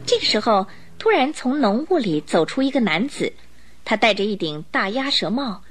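A woman narrating a radio drama in an expressive, scripted storytelling style. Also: on the high side, normal speed, faint music behind.

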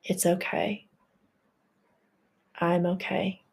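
A woman leading a guided healing meditation, speaking in a gentle, reassuring and affirming way. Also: fairly low pitch, completely flat delivery, drawn-out words, creaky, slightly rough.

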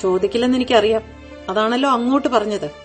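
Actress as a high-voiced, haughty young wife. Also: female voice, fast, soft background music, close to an everyday voice. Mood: breezy, defiant. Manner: coquettish, haughty, drops in English phrases. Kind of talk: Malayalam radio play acting.

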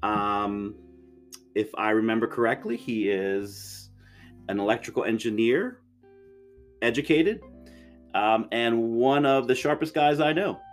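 Male co-host, mid-pitched, faint music. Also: unhurried, pauses often. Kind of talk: introducing a guest, conversational.

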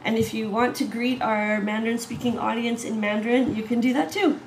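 Woman host, higher voice, chatty, video call in an echoey room. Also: steady tone, brisk, slight background noise. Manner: chatty, warm laughs, many "um"s. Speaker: host, artistic director. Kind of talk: casual video-call interview.

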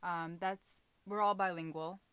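Bright, chatty young woman from California. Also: lowish voice, steady tone, measured pace, clear, resonant tone, dry recording. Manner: chatty, playful asides. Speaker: podcast co-host. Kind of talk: casual chat among sisters.

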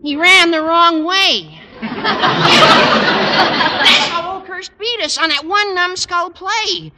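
An actor playing an excitable teenage boy student in a 1950s radio sitcom, talking in eager, fretful chatter. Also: very high voice, takes it slowly, heavy background noise, exaggerated voice. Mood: agitated. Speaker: high school student driving his teacher.

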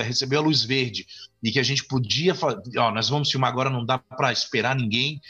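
Brazilian male director, interview guest, rambling delivery with fillers, husky tone, muffled recording. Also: mid-range voice, pushed and bright. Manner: rambling with many restarts and fillers. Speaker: film director and author. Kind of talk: interview conversation. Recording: dull lo-fi audio.

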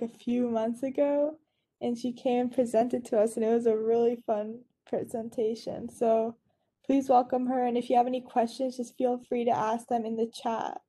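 Bright, flat-voiced woman host. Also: a little high. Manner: chatty, filler-heavy. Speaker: program host introducing a speaker. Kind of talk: online introduction.

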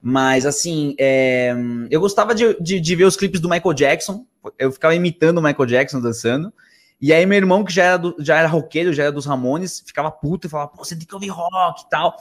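A man's voice: on the high side, a little fast. A man from Argentina with a Brazilian Portuguese accent, chatting casually in a slangy, rambling way and often restarting his sentences. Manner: rambling, slangy, self-interrupting. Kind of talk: casual conversational storytelling.

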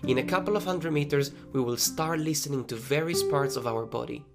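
Gravelly male meditation coach over guitar music. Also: mid-range voice, brisk. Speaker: guided running meditation coach. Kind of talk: guided meditation narration. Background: plucked guitar music.

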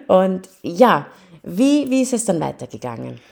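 A woman's voice: mid-range voice, sing-song, slow, gravelly, a little mellow. A woman, interviewing a guest and explaining ideas about language teaching. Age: 30s. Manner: explanatory, lecturing on multilingual teaching. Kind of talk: casual interview.

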